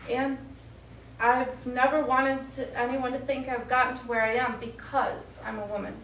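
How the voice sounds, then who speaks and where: A woman plays a tough, sardonic astronaut on stage, one of the guys, with a high-pitched voice. She is recorded from a distance in an echoey room. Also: little pitch movement, normal speed, a bit bright, a little hiss or hum. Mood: earnest, proud. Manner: brash, sardonic. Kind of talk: stage play dialogue. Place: small studio theatre, recorded from afar.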